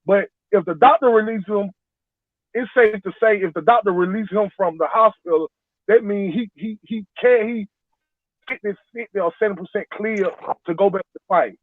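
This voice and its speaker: A man's voice: high voice, normal speed, dry recording. A man in his forties calling in, rambling and defending his point, repeating himself. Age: 40s. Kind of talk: heated casual debate. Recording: tinny phone line, dry.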